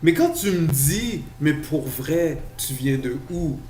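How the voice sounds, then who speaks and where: A man from Quebec with a Quebec French accent, co-hosting a podcast in casual talk, halting and restarting his sentences often. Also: fairly high pitch, expressive, dark tone. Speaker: podcast co-host. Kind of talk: casual discussion. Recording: echoey room, faint noise.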